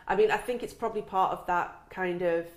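A woman's voice: lowish voice, a little fast. A middle-aged woman hosting a casual interview in a chatty, rambling, jokey way. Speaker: interviewer, podcast host.

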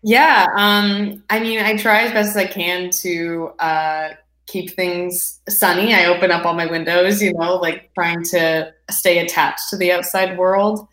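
A young Canadian woman with a slightly low voice talks casually as an interview guest. Her recording is roomy and echoing, as if made at home. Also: steady tone, a little slow, a bit bright. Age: young adult. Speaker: guest, performing artist. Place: indoors at home.

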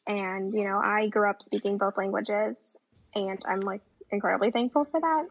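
A woman's voice: mid-range voice, moderate pace, gravelly, husky. A young woman talking as a guest in a casual conversation, with a chatty manner full of fillers. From United States. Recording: dull lo-fi audio.

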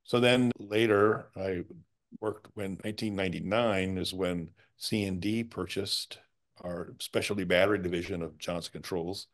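Low deep voiced guy, plainspoken, halting, kinda casual guest talk. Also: fairly even intonation. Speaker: podcast guest. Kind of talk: casual career recollection.

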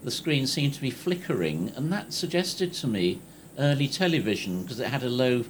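A man asking a casual, measured and inquisitive question from the audience at a live event. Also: mid-range voice, expressive. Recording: clearly noisy live room recording.

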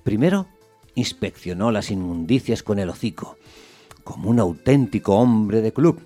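An actor narrating a radio play as a measured storyteller, in a deliberate, literary, descriptive way. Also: male voice, on the low side, animated, warm tone, soft background music. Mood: wry, measured. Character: measured male storyteller, wryly observing a small dog.

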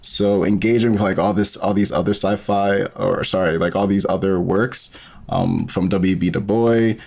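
A man's voice: low-pitched, fairly even intonation, moderate pace, vocal fry, darkish tone. A man as a podcast guest, talking casually and rambling with many fillers. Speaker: podcast guest, audio drama creator. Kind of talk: casual interview conversation.

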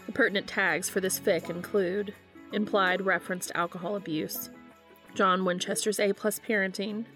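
A woman hosting a podcast, reading fan fiction aloud in a steady, even storytelling manner. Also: mid-range voice, normal speed, faint music behind. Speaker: podcast host and reader.

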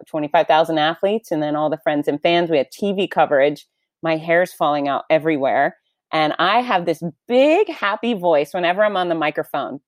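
A middle-aged woman and race announcer, candidly telling a personal story in an interview with lively, self-deprecating emphasis. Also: deep voice, no room sound, clean studio sound.

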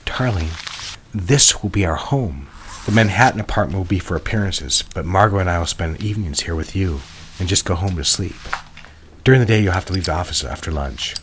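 An actor playing a possessive, jealous lover in an audio drama, measured and commanding, speaking in an intimate, controlling way. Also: male voice, low voice, animated, a bit bright, a little hiss or hum. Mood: controlling, tender. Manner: measured, directive, intimate murmuring.

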